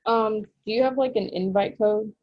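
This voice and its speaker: A woman's voice: fairly high pitch, normal speed, lo-fi sound. A woman reading stage directions and scripted narration for a table read held on a video call. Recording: noisy online call audio.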